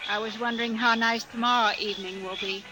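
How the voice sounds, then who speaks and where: An actress playing an earnest, strong-willed American frontier wife in an old radio western, composed and direct. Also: female voice, on the high side, fairly even intonation, quick pace, lightly acted. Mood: worried, earnest. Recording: old radio broadcast with music behind.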